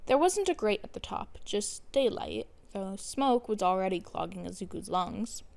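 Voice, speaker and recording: A woman's voice: medium pitch, expressive, moderate pace, gravelly, a little mellow. A woman narrating a scripted fan fiction story with dramatic storytelling. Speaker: podfic narrator.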